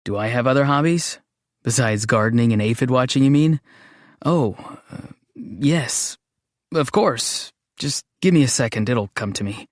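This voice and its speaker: An actor voicing a gentle, odd, introverted young man in a video game, speaking in an earnest, hesitant, matter-of-fact way. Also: medium pitch, a little slow, no room sound, studio quality, close to an everyday voice. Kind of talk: scripted game character lines.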